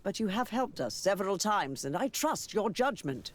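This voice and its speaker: A stately suspicious holy man in a game, high voice, theatrical, faint noise behind. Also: sing-song, normal speed. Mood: stately, resolved. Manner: formal, theatrical, probing. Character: proud, sceptical male religious leader, stately and probing. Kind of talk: video game cutscene dialogue acting.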